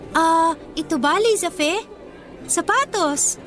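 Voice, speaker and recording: A woman's voice: very high voice, takes it slowly, fairly bright tone, faint music behind, exaggerated voice. A woman playing a poor, determined, kind but defiant teenage schoolgirl in a Filipino radio drama, with earnest, emotional delivery. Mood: hurt, defiant.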